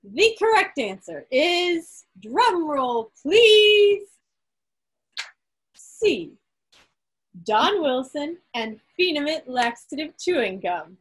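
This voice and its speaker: A woman's voice: high-pitched, big pitch swings, very slow. A woman hosting a quiz on a video call, reading out questions and answers with light banter. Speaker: quiz host at club meeting.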